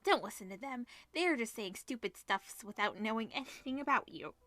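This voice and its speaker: A woman's voice: a little high, lively intonation, brisk, bright, forceful tone, faint music behind. A woman voicing a loyal, protective teenage girl, a childhood friend with an earnest, supportive manner in a visual novel. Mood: reassuring.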